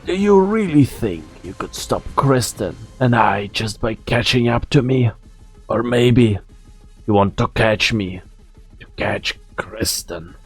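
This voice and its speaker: Man acting a bitter, husky old villain scientist. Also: medium pitch, expressive, soft background music, exaggerated voice. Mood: threatening. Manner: theatrical, taunting, deliberate. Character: mocking, bitter old male scientist, weary and menacing. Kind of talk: fan-dub game drama acting.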